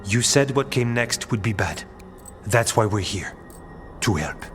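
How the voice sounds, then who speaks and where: An actor playing a solemn, concerned warden in a fantasy video game, speaking seriously and deliberately. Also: male voice, on the low side, animated, a bit bright, long reverb tail, soft background music.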